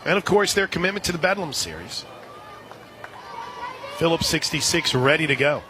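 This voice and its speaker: A man with a fairly high voice calls a softball game live for radio. He works as the play-by-play announcer at an outdoor ballpark, with clear crowd and background noise around him. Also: very animated, moderate pace. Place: outdoor softball stadium broadcast position.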